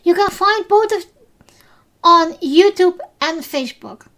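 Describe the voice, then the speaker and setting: A Dutch woman hosts a solo podcast recapping episodes, speaking English as a second language. Her voice sits high, and she talks slowly. She hesitates often, filling pauses and restarting her sentences. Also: lively intonation, a bit bright. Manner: hesitant, many fillers and restarts. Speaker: podcast host.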